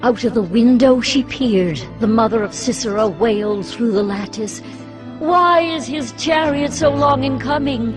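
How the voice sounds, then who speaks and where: A woman's voice: on the high side, a little slow, natural voice. A woman playing a solemn, mocking ancient singer of a victory song in a dramatized scripture reading, declaiming poetically. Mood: mocking, dramatic. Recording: loud music under the voice. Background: prominent music.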